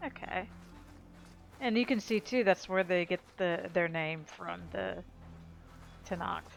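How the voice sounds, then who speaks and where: A woman's voice: lowish voice, measured pace, creaky. A woman giving casual, chatty commentary as the host of a video game playthrough. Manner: informal, chatty. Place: voice-over on game audio.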